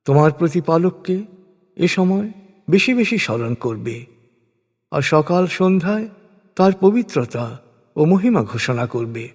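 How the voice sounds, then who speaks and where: A man narrates sacred text in a slightly raised register. His delivery is slow and reverent, with deliberate pauses between phrases. The voice rings out in a long, hall-like reverb. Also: a little high, sing-song, a little mellow, slightly rough. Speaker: narrator of a scripture translation. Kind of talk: solemn scripted religious recitation in prose.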